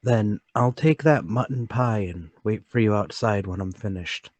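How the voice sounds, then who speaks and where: A man's voice: on the low side, normal speed, no echo, lightly acted. A man playing a meek, troubled middle-aged salesman in a radio play table read, hesitant and apologetic. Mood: kindly.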